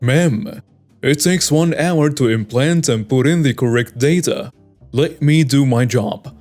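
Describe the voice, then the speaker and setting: A man playing a firm, calm official. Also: medium pitch, unhurried, soft background music, natural voice. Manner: firm, matter-of-fact.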